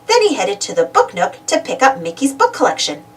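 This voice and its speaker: A woman reading a children's storybook aloud in an expressive, dramatic storytelling manner. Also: on the high side, fairly quick, distant mic. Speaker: storybook reader. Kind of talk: animated children's read-aloud narration.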